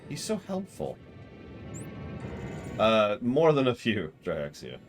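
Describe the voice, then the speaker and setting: A man's voice: on the low side, wildly swooping pitch, slow pace. A man giving casual, analytical game commentary to a live chat in a blunt, conversational way. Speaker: game streamer. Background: game music.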